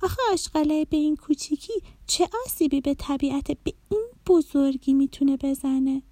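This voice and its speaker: A woman's voice: high voice, dark tone, dry recording, voice pushed up, natural voice. A woman voicing a curious, wide-eyed little girl in a children's story, asking lots of questions.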